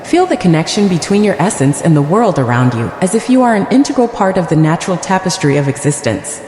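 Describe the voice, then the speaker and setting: A woman leading a scripted guided meditation in a gentle, soothing, deliberate manner. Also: fairly low pitch, very animated, echoey room, in a large hall.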